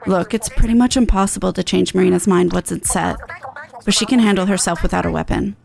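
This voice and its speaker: A woman's voice: on the low side, quick pace, creaky, a little mellow, voice pushed down. A woman voicing a cool-headed, pragmatic female teammate in a level, matter-of-fact way. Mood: calm.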